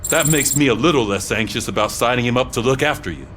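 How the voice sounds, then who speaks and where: An actor playing a wary, confiding police superior in a video game, talking in a businesslike, conversational way. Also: male voice, medium pitch, quick pace, fairly bright tone, a little hiss or hum, natural voice.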